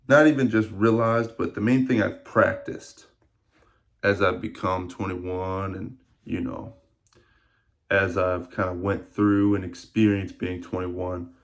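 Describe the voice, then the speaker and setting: A man's voice: low voice, fairly even intonation, takes it slowly. A man in his early twenties talking casually to camera in a rambling, reflective vlog with many fillers. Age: 20s. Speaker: fitness vlogger.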